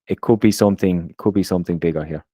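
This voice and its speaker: Deep-voiced man co-hosting a podcast. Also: no room sound, dull audio. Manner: halting, repeats words often. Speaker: podcast co-host. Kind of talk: casual chat.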